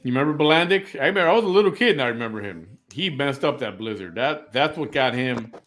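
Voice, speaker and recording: A man in his fifties from Chicago hosts a casual live-stream talk show. His voice sits at an ordinary middle pitch. He rambles and repeats himself as he reads out viewer comments, mixing strong opinions with jokes, and a little music plays faintly behind him. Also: expressive, fast, a bit bright. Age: 50s.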